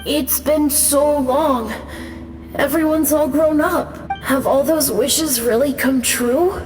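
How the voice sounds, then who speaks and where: A woman voicing a gentle young villager in a video game. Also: high voice, fairly even intonation, slow. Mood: wistful. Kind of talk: scripted video game character dialogue. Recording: echoing reverb, music behind.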